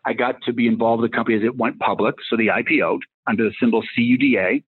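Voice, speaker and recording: A man's voice: mid-range voice, steady tone, rapid-fire, breathy edge. A man in his fifties with a Massachusetts accent, a tech executive telling his career story in a rambling, casual way that drifts into little acted-out bits of dialogue. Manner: rambling, acts out dialogue in anecdotes. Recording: phone-like narrow line.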